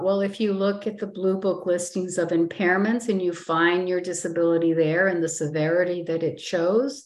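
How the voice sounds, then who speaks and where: A middle-aged woman presenting and explaining in an informal, conversational way, walking through personal examples with frequent ums. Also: lowish voice. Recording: echoey room.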